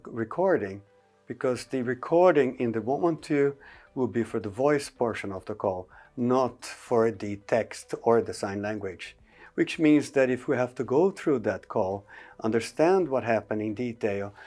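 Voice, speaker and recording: A man's voice: mid-range voice, a little mellow. A man giving an informational presentation on emergency call rules, explaining step by step with frequent hesitations.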